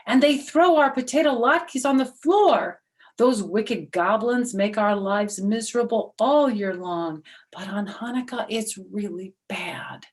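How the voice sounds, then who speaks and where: A woman's voice: mid-range voice, unhurried, voice pushed up, natural voice. A woman voicing a miserable, fearful villager from a storybook, complaining in an emphatic, plaintive way. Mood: aggrieved. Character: troubled villager, fearful and miserable under goblins.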